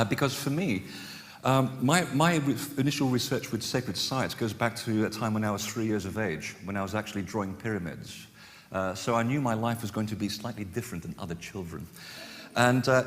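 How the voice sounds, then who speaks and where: A Portugal-born man giving a conference talk to a live audience, chatty and self-deprecating, with many fillers and restarts. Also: on the low side. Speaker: conference speaker. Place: conference hall. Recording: long hall reverb.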